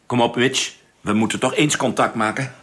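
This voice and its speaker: An actor playing a level-headed, cautious spaceship captain in a radio drama, authoritative but affable. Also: male voice, mid-range voice, steady tone, moderate pace, fairly bright tone, breathy edge. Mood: affable, amazed.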